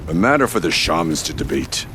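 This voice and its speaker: A hardened warrior chieftain in a game, with an extremely deep voice. He is proud and grave, wasting no words and speaking formally. Ambient noise runs behind him. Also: big pitch swings, fairly quick. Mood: proud, resolute. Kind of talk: video game character acting.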